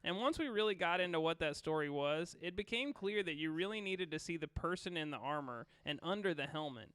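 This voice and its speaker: A young man with a high, bright voice co-hosts a gaming podcast in a relaxed, profane way. The sound is crisp and smooth, with a dry close recording. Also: brisk, no echo. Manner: laid-back, swears casually. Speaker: podcast co-host. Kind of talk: casual chat, reading a news article.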